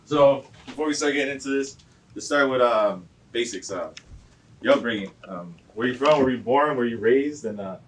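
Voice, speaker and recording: A man's voice: mid-range voice, normal speed. A man hosting a casual beer pong chat, laid-back and slangy, teasing his guest. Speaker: host. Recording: distant mic with loud background noise.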